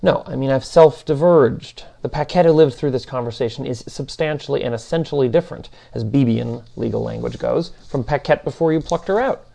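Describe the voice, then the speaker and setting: A man voicing a defiant, quick-witted female digital philosopher in an audiobook, arguing insistently. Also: medium pitch, creaky, warm tone, natural voice. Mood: pleading defiance. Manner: insistent, argumentative.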